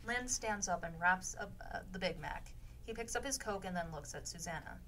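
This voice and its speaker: A woman's voice: mid-range voice, expressive, moderate pace, fairly bright tone. A woman narrating stage directions for a radio theatre performance.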